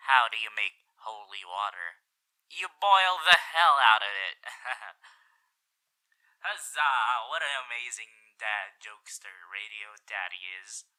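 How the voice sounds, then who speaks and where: A man's voice: mid-range voice, bright, forceful tone, exaggerated voice, poor audio. A man voicing a suave, flirtatious radio demon with a theatrical showman's delivery in a roleplay audio for the listener, moving between bold exclamations and intimate murmurs. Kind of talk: character roleplay ASMR addressed to the listener.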